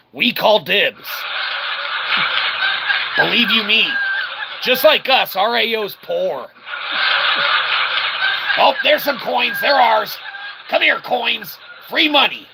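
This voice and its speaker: Male game commentator, fairly high-pitched with heavy vocal fry, joking and mock-formal. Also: lively intonation, slow, pauses often, very noisy. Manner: wordy, mock-formal phrasing with playful sound effects. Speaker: host of a gameplay video channel. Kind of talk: casual game commentary with running jokes.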